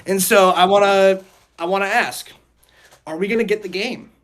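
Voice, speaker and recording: A man's voice: sits high, normal speed, a bit bright. A man hosting a casual podcast discussion, rambling and repeating phrases as he poses questions. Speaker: podcast host.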